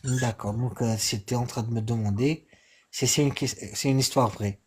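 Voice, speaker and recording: A young man in a heated live debate, slow and deliberate, repeating his point. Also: mid-range voice, steady tone, darkish tone, husky. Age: young adult. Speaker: debate participant.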